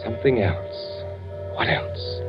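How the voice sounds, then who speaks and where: An actor playing an anxious spy under a false identity in a 1940s radio suspense drama, speaking in a dramatic, halting, self-questioning way. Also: male voice, close to an everyday voice. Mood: anguished doubt. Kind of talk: 1940s radio suspense acting with brooding first-person narration. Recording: muffled vintage radio recording, music behind.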